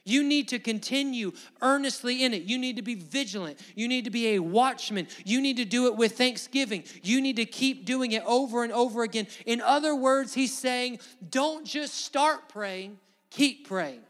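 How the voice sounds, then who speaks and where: Southern guy from alabama preaching, high voice, emphatic church sermon, big echoey hall. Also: normal speed, a bit bright. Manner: emphatic, repetitive, exhorting delivery. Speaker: pastor preaching to a congregation. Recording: long hall reverb.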